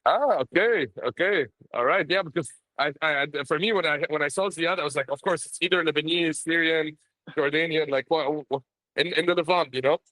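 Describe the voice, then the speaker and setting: A fairly high-pitched man talks casually on a call from a social event, with his camera off. He restarts his sentences often, and the sound is close and dry. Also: brisk, bright, forceful tone, dry recording, rough recording. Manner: chatty, stammering restarts. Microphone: phone on a call, camera off.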